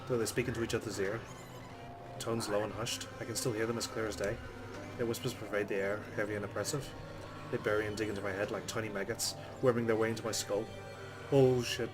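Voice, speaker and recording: A man's voice: lowish voice, little pitch movement, quick pace. A man hosting a let's play, reading game narration aloud dramatically and adding muttered, sweary asides. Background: game music.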